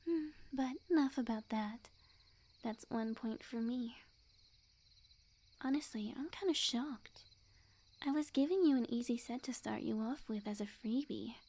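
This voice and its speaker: A woman playing a sweet, obsessive witch girlfriend in an ASMR roleplay, speaking softly and breathily in a coy, unhurried, often whispered voice. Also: a little high. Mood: smug, patient.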